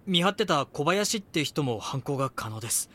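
An actor playing a young magazine reporter in an audio drama, polite, earnest and inquisitive. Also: male voice, a little high, big pitch swings, moderate pace, pushed and bright, full character voice. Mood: reporting. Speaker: magazine reporter and first-person narrator. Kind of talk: Japanese audio drama dialogue and narration.

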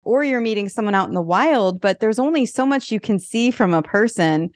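A woman's voice: mid-range voice, lively intonation, dry recording, studio quality. A woman hosting a podcast, chatty and enthusiastic as she talks with her guest. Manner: enthusiastic, chatty, quick laughs. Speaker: podcast host. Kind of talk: podcast interview.

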